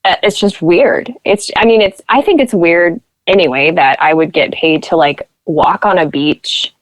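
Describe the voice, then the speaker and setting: Low-voiced chatty woman guest. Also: unhurried, no echo. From Nebraska. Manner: chatty storyteller who acts out quoted voices. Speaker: podcast guest. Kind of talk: casual interview chat.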